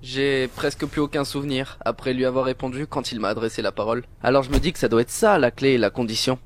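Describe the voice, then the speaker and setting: A man's voice: medium pitch, steady tone, fast, lightly acted. A man voicing an earnest, helpful teenage student in an anime fan dub, explaining things matter-of-factly. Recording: loud music under the voice.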